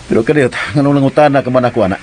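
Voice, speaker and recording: A man playing a serious, easily irritated, commanding young man in a radio drama, with a stern, earnest delivery and a husky voice. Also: medium pitch, steady tone, rapid-fire, a little mellow, slight background noise, close to an everyday voice.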